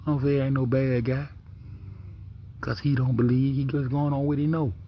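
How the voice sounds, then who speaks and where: A man hosting a livestream with a big deep voice, talking low and dropping to a near-whisper as he reads text aloud, then riffing in loose, profane commentary. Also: level tone, moderate pace, warm tone, a little hiss or hum. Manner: talks low, often drops to a near-whisper. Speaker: livestream host.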